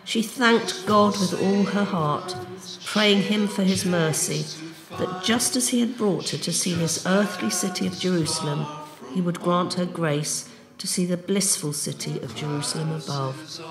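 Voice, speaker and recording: A narrator giving a measured, solemn dramatic reading of an old religious tale. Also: medium pitch. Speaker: storyteller, narrator. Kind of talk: dramatic reading of a medieval book, storytelling. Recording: music behind the voice. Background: plucked guitar music.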